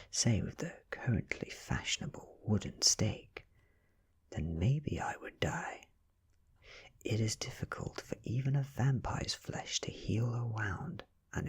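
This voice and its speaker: British guy doing asmr story reading, whispery and husky, right up on the mic. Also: mid-range voice. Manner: hushed, deliberate, lingering between short phrases. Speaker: audiobook reader. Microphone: close microphone.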